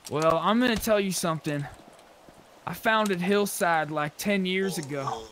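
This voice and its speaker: A man's voice: high-pitched, a little slow, a bit bright, a little hiss or hum. A man chatting in an improvised, conversational way as he role-plays in an online game. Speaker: role-play gamer. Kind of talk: improvised in-character chat while gaming.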